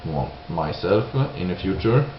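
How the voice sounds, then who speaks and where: A man from Sweden with a Swedish accent, giving an unscripted opinion monologue in halting English, hesitant and searching for words. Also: low voice, lively intonation. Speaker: video creator giving opinions. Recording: roomy, dull lo-fi sound with hiss.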